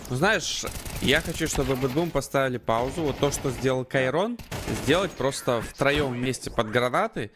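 A man's voice: mid-range voice, animated, normal speed, background noise. A man commentating and analysing a live esports match in conversational gamer slang. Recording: gunfire and game sounds behind. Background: gunfire, clicks from the game.

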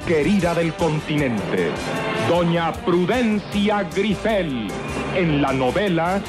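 Slow, grand male radio announcer. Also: a little high, lively intonation. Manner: grand, drawn-out. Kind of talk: old-time radio serial introduction. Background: loud music.

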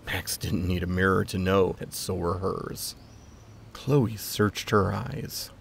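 A man's voice: deep voice, slow pace, creaky, slight background noise. A man narrating a scripted story as the narrator of a dramatised piece of fiction.

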